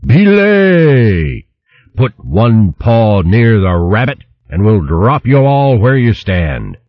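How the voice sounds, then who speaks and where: A man voicing a massive, bullying male hedgehog chieftain in a storybook, with booming, stern, rough rustic speech. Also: lively intonation, slow pace, no room sound, dull audio, full character voice. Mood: stern threatening.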